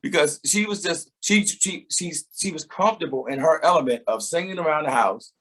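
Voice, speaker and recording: A man's voice: on the high side, lively intonation, fairly quick, a bit bright, husky, rough recording. A middle-aged man, a singer, talking reflectively in a casual interview, often laughing and repeating words as he starts a thought.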